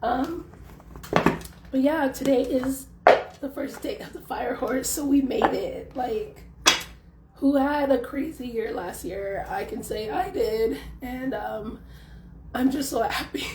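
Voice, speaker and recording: A young woman with a hoarse, worn-out voice, chatting casually through a tarot reading and leaning on lots of fillers. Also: sits high, slow pace. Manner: chatty, loose, filler-heavy. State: hoarse, losing her voice. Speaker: tarot reader. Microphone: phone. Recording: echoey room, faint background noise.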